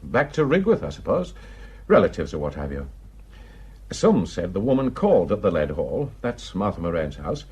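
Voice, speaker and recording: A man's voice: low-pitched, big pitch swings, normal speed, a little mellow, breathy edge, full character voice. An actor playing a gossipy, plain-spoken village man with a Northern English accent, telling indignant tales in an emphatic, colloquial way in a radio drama. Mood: grim gossip. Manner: garrulous, emphatic, colloquial storytelling.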